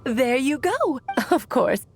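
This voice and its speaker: A woman playing a cheerful, theatrical witch in a video game, with playful, affectionate, drawn-out phrasing. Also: sits high, sing-song, over music, heavily acted. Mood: bright, playful.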